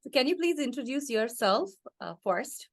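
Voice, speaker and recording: A woman's voice: high-pitched, expressive, moderate pace. A woman hosting an awards presentation session, friendly and chatty, laughing easily as she introduces the speakers.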